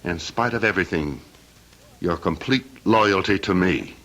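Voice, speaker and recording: Deep, menacing scheming heir. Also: male voice, fairly bright tone. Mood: coldly insistent. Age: 30s. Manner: menacing, mocking, theatrically deliberate. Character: cold, scheming, blackmailing heir in his late thirties. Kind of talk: old-time radio drama acting.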